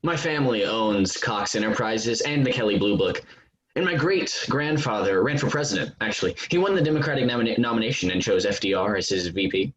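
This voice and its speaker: Actor as a lively, smug rich young man. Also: lowish voice, brisk, a little hiss or hum, lightly acted, rough recording. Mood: smug boasting. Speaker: actor in a staged play reading. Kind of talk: virtual staged reading of a comedy play.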